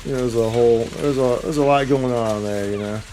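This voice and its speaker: A man's voice: mid-range voice, a little fast, dark tone, a little hiss or hum. A man livestreaming casual gameplay commentary in a slangy, dude-heavy way. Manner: slangy, addresses game and viewers as dude and boy. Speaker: game livestreamer. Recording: game music behind voice. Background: game music.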